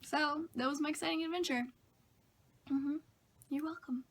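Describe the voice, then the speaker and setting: A young woman, a bit hoarse from a cold, telling funny stories about her week to camera in a chatty, self-mocking way. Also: sits high, steady tone, moderate pace. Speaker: vlogger. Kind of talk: casual chat to camera.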